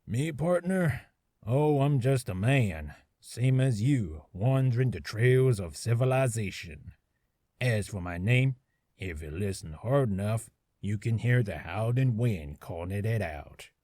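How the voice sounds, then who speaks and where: A man's voice: lowish voice, animated, unhurried, mellow, no room sound. A man voicing a laconic, folksy cowboy wanderer with a drawl, acted as a game character. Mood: cool, wry. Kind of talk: character voice reel, game acting.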